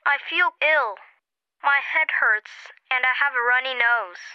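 A woman voicing a schoolboy who is sick with a cold, reading slow, careful lines in a textbook dialogue. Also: high-pitched, clear, resonant tone, no room sound, highs cut off. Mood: tired.

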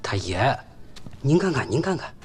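An actor playing a dutiful, nervous young servant who stammers and reads aloud slowly and haltingly, with music playing behind. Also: male voice, mid-range voice, sing-song, a little mellow, slight background noise, natural voice. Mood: flustered.

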